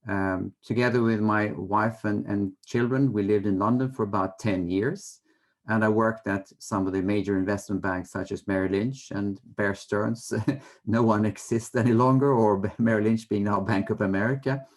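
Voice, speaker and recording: A middle-aged man from Sweden with a Swedish accent, giving measured, hesitant explanations as a guest in an interview. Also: fairly low pitch, steady tone. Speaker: interview guest, fund manager. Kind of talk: conversational interview answers.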